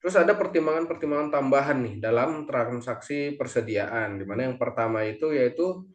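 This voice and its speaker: A man explains material step by step as a presenter, in a halting way with self-corrections. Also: medium pitch. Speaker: accounting lecturer or presenter. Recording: echoey, reverberant room sound.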